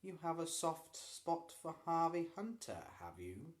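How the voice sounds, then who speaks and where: A reader voicing a sinister, soft-spoken male headmaster in a slow, murmuring, menacing way. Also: medium pitch, sing-song, reverberant, voice pushed up.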